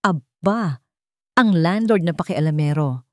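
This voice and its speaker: A woman's voice: low voice, expressive, a little slow, no echo, clean studio sound. A woman voicing an imperious, impatient old wealthy matriarch in an audio drama, curt and scornful. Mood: mocking.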